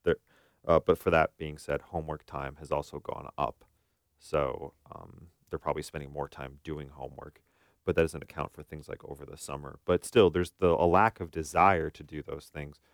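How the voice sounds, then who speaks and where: A man's voice: extremely low pitch, fairly even intonation, moderate pace, gravelly, no echo, clean studio sound. An American man co-hosting a podcast as a therapist, explaining his views casually and acting out bits of imagined dialogue.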